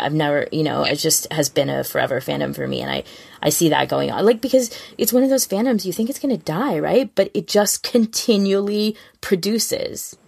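Woman podcast host rambling on excitedly about fan stuff, super creaky voice, kinda husky. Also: low voice. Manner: effusive, rambling. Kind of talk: casual fan chat.

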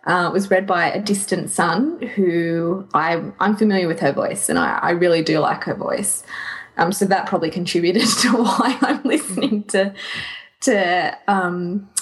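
A woman's voice: fairly low pitch, moderate pace. A woman co-hosting a podcast in a casual, conversational style. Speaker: podcast co-host.

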